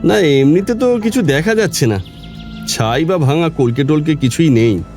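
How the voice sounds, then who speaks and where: A local police officer in an audio drama, his voice on the high side and very lively. He is courteous yet probing, often repeating small phrases as he sniffs out something suspicious. Faint music sits behind him. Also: moderate pace. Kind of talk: Bengali audio drama acting.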